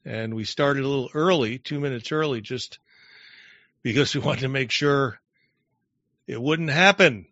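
A man's voice: mid-range voice, unhurried, long pauses, a bit bright, no room sound, highs cut off. A man hosting a live online show in casual chat, loose and rambling, often trailing off mid-sentence.

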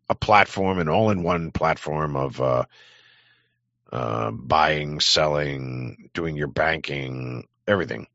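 A man's voice: very deep voice, fairly bright tone, no echo, muffled recording. A man hosting a livestream, rambling through a profane, sardonic political rant. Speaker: livestream host.

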